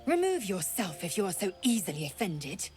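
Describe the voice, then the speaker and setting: A woman's voice: medium pitch, very animated, moderate pace, darkish tone, soft background music, natural voice. An actress playing a proud, fierce alien female warrior in a dramatic video game, blunt and contemptuous, with a haughty, clipped, formal way of speaking. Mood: menacing.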